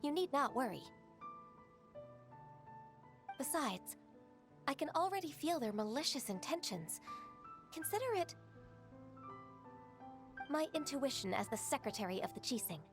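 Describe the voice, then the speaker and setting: A woman's voice: high-pitched, expressive, moderate pace, pauses often, music in the background. A woman playing an earnest, polite young secretary in an anime-style video game, formal and composed. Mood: resolute.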